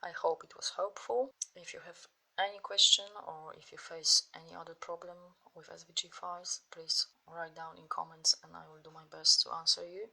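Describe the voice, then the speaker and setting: A woman with a Polish accent, giving step-by-step instructions in a software tutorial over mouse clicks. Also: on the low side, level tone, normal speed, creaky, few pauses, rough recording. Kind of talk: screen-recorded software tutorial.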